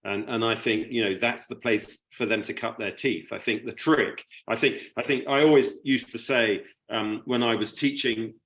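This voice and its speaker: A man's voice: medium pitch, steady tone, normal speed, hardly any pauses, fairly bright tone, poor audio. A middle-aged man in an informal panel discussion, speaking hesitantly and often repeating his words. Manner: hesitant, stammering repetitions of words. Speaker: media producer, panellist.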